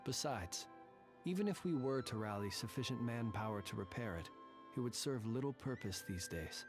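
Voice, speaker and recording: An actor playing a solemn, formal and courteous guardian of haunted tombs in a video game. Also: male voice, mid-range voice, lively intonation, music in the background, natural voice. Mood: solemn, measured. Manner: formal, measured, ornate courteous speech. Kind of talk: video game character dialogue.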